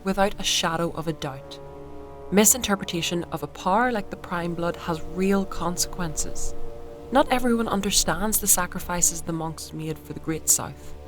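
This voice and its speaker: An actress as a lowish-voiced devout woman, music underneath. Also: moderate pace, close to an everyday voice. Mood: solemn, guarded. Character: devout, guarded woman loyal to a secret order. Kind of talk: audio drama acting, dramatic dialogue.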